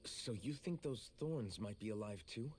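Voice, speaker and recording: Young protective hero, low pitch, earnest, sincere, determined, game cutscene acting, soft background music. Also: male voice, expressive, a little slow. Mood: puzzled, serious. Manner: plain-spoken, sincere. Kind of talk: anime video game voice acting.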